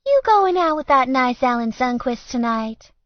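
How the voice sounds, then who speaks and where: A woman's voice: high-pitched, big pitch swings, moderate pace, no room sound, muffled recording, pitched above the performer's own voice. A woman voicing a prim, nosy old small-town lady with an indignant manner in an audiobook. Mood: nosy, disapproving.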